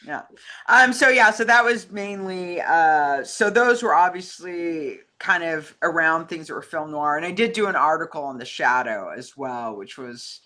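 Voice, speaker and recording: Bright-voiced woman co-hosting a film chat. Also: low-pitched, lively intonation, measured pace. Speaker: co-host and film writer. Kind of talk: casual film discussion.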